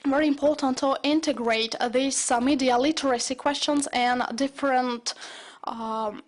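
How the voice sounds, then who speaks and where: High-voiced woman interpreting. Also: fairly even intonation. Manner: halting, self-correcting. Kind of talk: simultaneous interpretation.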